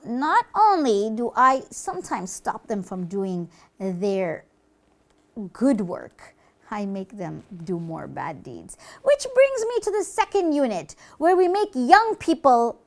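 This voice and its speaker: A woman voicing a gleeful, boastful devil in a children's puppet show, gloating with theatrical, drawn-out emphasis. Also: fairly high pitch, sing-song, heavily acted. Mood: sly, gleeful. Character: gleeful, boastful devil who leads people astray.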